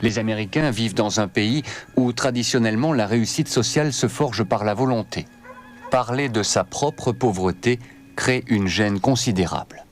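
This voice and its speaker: A man's voice: fairly low pitch, normal speed. A man reading scripted narration for a documentary.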